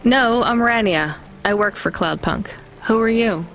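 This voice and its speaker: An actress playing a practical, inquisitive young courier in a video game, talking in a wry, matter-of-fact way. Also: female voice, on the high side, a little slow, a bit bright, soft background music, low-quality recording. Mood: calm, questioning.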